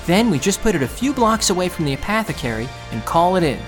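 A man's voice: high voice, very animated, fast talker. An actor playing a determined young undercity thief who narrates his own story in an earnest, resolute way. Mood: urgent, insistent. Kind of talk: audio drama narration and dialogue. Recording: music under the voice. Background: music.

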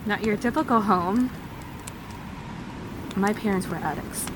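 Clear ambient noise surrounds a woman playing a steady, self-contained survivor in an audio drama. She talks in a matter-of-fact, reassuring way at a medium pitch. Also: unhurried, natural voice. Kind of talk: naturalistic audio drama dialogue.

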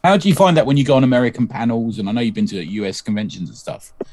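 A man's voice: medium pitch, very animated, rapid-fire, darkish tone, no echo. A man co-hosting a podcast interview, chatty and often ending his points with tag questions. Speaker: podcast co-host.

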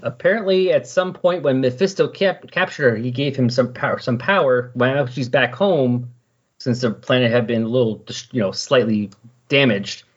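Husky-voiced man chatting about comics. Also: medium pitch, normal speed. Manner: animatedly paraphrases characters' dialogue in casual asides. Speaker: podcast host discussing comics. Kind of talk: casual rambling comic-book recap chat. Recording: dull, lo-fi voice recording.

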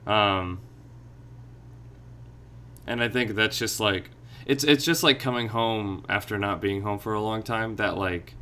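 A man with a lowish voice and a bright, crisp edge to it. He talks in a soft-spoken, reflective way, circling around his thoughts in relaxed podcast conversation. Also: a little slow, pauses often. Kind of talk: casual podcast chat.

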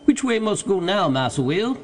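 A man's voice: sits high, very animated, normal speed, a little hiss or hum, voice pushed up. A man voicing an eager, fearful male servant in an audiobook story, calling out in broken rustic dialect. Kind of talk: dramatized audiobook character.

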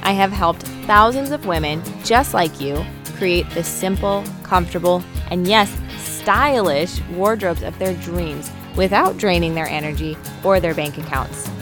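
Woman podcast host, scripted intro, lower voice, clear music bed behind. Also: measured pace, hardly any pauses. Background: background music.

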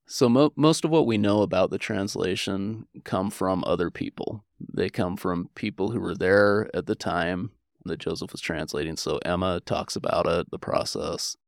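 American male interview guest, historian type. Gravelly low voice, earnest and conversational, dry close sound with faint music beneath. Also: normal speed, hardly any pauses, no room sound. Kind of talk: conversational explaining and personal storytelling.

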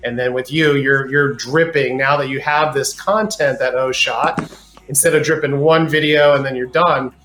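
A man's voice: mid-range voice, steady tone, normal speed, a bit bright, echoey room, a little hiss or hum. A man hosting an interview, chatting casually and encouraging his guest. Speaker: interview host.

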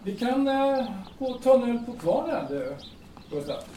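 A man's voice: very high-pitched, big pitch swings, mellow. A man playing a friendly, plain-spoken young carpenter in an audio drama. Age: young adult. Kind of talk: naturalistic audio drama acting. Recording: echoey, distant, faint noise with birds.

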